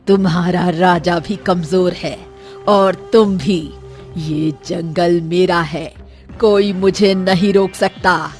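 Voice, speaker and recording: A woman acting as a menacing cartoon sorceress who owns her forest. She speaks slowly in a fairly low voice, haughty and taunting with every threat. Soft music runs beneath her. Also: steady tone.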